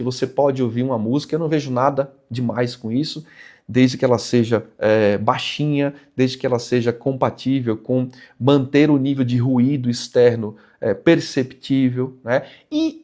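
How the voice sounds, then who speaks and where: A man's voice: medium pitch, mellow. A man with a Brazilian Portuguese accent gives explanatory advice to viewers as a vlog host, in an emphatic, didactic way, repeating key phrases for weight.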